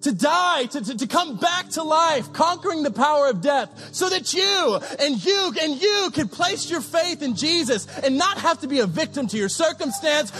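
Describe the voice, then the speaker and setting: A man pastor giving a sermon, preaching with passion, repeating phrases and rising to shouts. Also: extremely high pitch. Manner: impassioned, repetitive preaching with shouted peaks.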